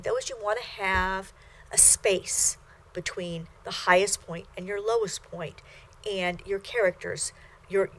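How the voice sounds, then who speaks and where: An older woman with a fairly high voice, giving a recorded language lecture in a small studio. She explains patiently and measuredly, with frequent ums and self-corrections. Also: a bit bright, poor audio. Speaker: Chinese language instructor. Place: small studio with plain backdrop.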